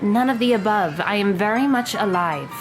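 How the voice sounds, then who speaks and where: A woman's voice: medium pitch, animated, moderate pace, music in the background, close to an everyday voice, low-quality recording. A woman playing a cold, sarcastic thirteen-year-old girl in an audio drama, with a dry, curt delivery. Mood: sarcastic, dismissive.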